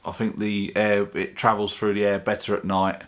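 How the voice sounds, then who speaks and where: A man's voice: lowish voice, little pitch movement, normal speed. A man presenting his own video in a chatty, informal, plain-spoken way, explaining things casually to camera. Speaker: video channel host.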